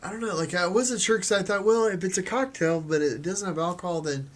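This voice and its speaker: A man's voice: sits high, fairly quick. A man with a stuffed-up nose co-hosting a livestream, talking casually with many restarts and easy laughs. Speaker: co-host of a livestream. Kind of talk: casual chat.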